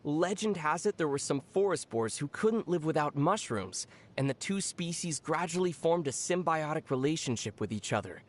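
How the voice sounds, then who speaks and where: A young adult actor playing a calm, gently teasing traveler hero in scripted video game dialogue. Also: mid-range voice, moderate pace, hardly any pauses, close to an everyday voice. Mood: calm, informative.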